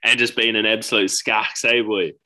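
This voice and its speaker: Young male co-host, New Zealand accent, relaxed slangy banter, lower pitch with a bright edge. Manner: easygoing, quick laughs, Māori greetings and kiwi slang. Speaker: podcast co-host. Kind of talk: casual podcast chat.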